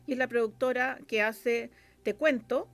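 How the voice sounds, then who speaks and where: Chilean female guest, slightly high voice, casual and colloquial, thinks aloud while explaining. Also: fairly even intonation, measured pace, vocal fry. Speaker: interview guest, radio drama scriptwriter and producer.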